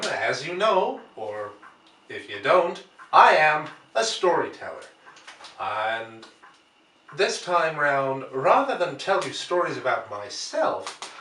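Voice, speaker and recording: A man who works as a storyteller, speaking with theatrical, deliberate phrasing and recorded in an echoey room at a distance. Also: fairly high pitch, bright, forceful tone. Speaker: storyteller and host. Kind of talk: dramatic audiobook reading and chatty introduction. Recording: roomy echo, distant from microphone.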